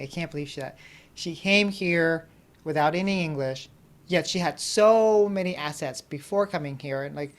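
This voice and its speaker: A man hosting an interview podcast, reacting with warm enthusiasm and asking questions. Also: fairly high pitch. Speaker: podcast host.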